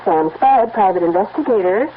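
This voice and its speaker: A woman's voice: medium pitch, expressive, normal speed, very noisy, close to an everyday voice. A woman playing a distressed, pleading detective's client in a melodramatic radio advertisement sketch, on a muffled old recording. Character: distressed, breathy-sweet damsel client of a private eye.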